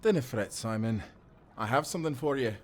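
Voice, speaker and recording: A man playing a kindly old seafaring grandfather in an audio drama, speaking calmly and reassuringly. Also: medium pitch, fairly quick, slight background noise, natural voice. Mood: gentle, reassuring. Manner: calm, reassuring, folksy. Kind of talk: cinematic audio roleplay acting.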